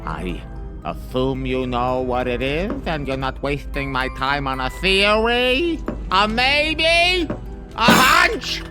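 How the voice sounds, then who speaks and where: A vain, bullying space king, childish and imperious. The voice swoops wildly between menace and whining, with music clearly playing underneath. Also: mid-range voice, very animated, slow. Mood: imperious, impatient. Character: petulant, vain, bullying galactic tyrant king. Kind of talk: comic sci-fi audio drama acting. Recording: music behind the voice.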